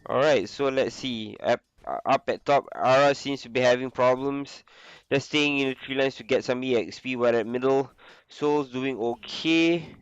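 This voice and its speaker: A young man with the flu, casually commentating a video game on his own, with a lot of filler pauses. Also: mid-range voice, clean tone, no room sound, lo-fi sound. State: sick with flu. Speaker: esports caster.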